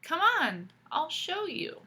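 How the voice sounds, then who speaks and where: A woman voicing a kind, patient little male pig in a storybook read aloud, with a soft, friendly delivery. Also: extremely high pitch, very animated, takes it slowly, a little hiss or hum, voice pushed up, exaggerated voice. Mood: gentle.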